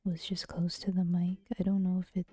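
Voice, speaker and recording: A woman streamer chatting casually in a soft, gentle, often half-whispered voice, with playful teasing and giggles, speaking close to the mic. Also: on the low side, breathy edge. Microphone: close to a regular mic.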